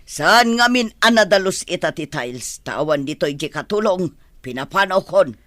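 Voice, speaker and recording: A woman acting a bossy, exacting madam and mother in an Ilocano radio comedy drama, commanding and brisk. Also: fairly low pitch, expressive, a bit bright, no room sound.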